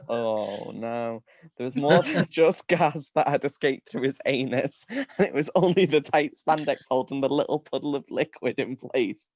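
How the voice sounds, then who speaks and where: A man's voice: mid-range voice, animated, normal speed, darkish tone, no room sound, lo-fi sound. A man giving a live comedic reading of a story, amused, often breaking into laughter and tripping over his words. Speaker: reader of comic fan fiction.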